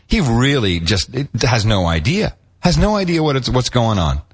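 A middle-aged man co-hosting a casual podcast chat, rambling and animated, with many restarts. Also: medium pitch, normal speed, husky. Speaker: podcast co-host.

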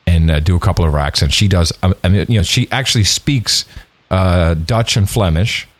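A man's voice: sits low, a little fast, no echo. A middle-aged man co-hosting a podcast in casual chat, joking and sarcastic. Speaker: podcast co-host.

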